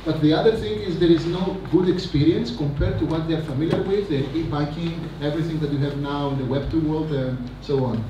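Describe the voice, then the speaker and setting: A man's voice: a little high, fairly quick, hardly any pauses. Man talking in an echoey hall. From Greece. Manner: energetic, rambling with many fillers and asides. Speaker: conference speaker. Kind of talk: conference talk. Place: large conference hall. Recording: echoey hall, mic from a distance, noisy.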